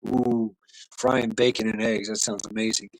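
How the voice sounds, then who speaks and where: A man's voice: on the low side, little pitch movement, normal speed, dry recording, low-quality recording. A man hosting a casual livestream, rambling in a tipsy way, full of laughs and fillers. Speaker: livestream host.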